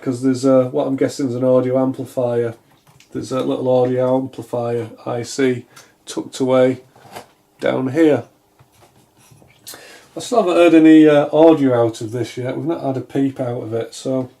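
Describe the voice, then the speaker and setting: Brief: male hobbyist repairer, soft-edged mellow tone, casual and unsure, thinking aloud at the bench. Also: mid-range voice, vocal fry. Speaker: electronics repair hobbyist, video host. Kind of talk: casual hands-on repair commentary. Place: indoors at a wooden workbench.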